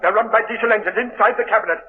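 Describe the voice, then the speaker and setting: An actor playing a frantic, pleading scientist in an old radio drama. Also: male voice, high-pitched, rapid-fire, close to an everyday voice. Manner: breathless, pleading urgency. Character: frantic male scientist, nervous and fast-talking. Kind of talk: 1930s radio acting. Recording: tinny noisy old radio broadcast.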